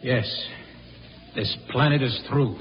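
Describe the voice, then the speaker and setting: An American actor playing a grave, idealistic and bitterly ashamed spaceman in 1950s radio drama, speaking haltingly and with passion. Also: mid-range voice, slight background noise, lightly acted. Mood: grim. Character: idealistic, brooding spaceman, bitterly ashamed of his own kind. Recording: muffled old radio recording, faint music.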